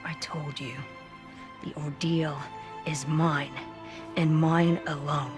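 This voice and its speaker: A fierce wounded warrior woman from a game. Her deep voice comes slowly and haltingly, stubborn and emphatic, with music behind. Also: steady tone. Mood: angry, defiant. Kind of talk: video game cutscene acting.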